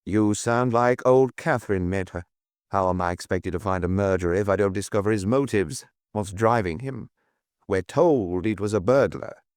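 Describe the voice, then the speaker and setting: A man voicing a gruff, skeptical police chief inspector in an audiobook, with blunt, probing questions. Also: fairly low pitch, normal speed, no room sound, clean studio sound, lightly acted. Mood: testy. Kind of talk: audiobook character dialogue.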